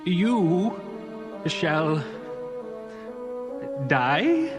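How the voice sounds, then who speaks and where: A jittery court wise man who delivers a grim omen and then hedges. His pitch runs high and swings widely, and he drags out his words slowly over steady music. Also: exaggerated voice. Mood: ominous. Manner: hesitant, ominous then evasive. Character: fearful male court wise man reading omens. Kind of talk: animated Bible story acting.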